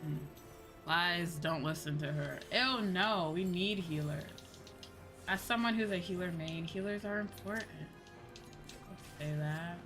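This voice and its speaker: Low-pitched woman chatting on a livestream. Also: measured pace. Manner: rambling, informal, thinks aloud. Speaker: livestreamer playing an online game. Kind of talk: casual livestream chat with game commentary. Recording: music behind the voice. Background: game music.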